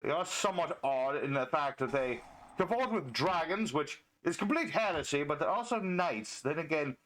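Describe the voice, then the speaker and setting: A man's voice: on the high side, animated, moderate pace, a bit bright. A man playing a pompous, chivalrous medieval knight, commentating on a card game in mock-archaic speech. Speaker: gaming video host and player.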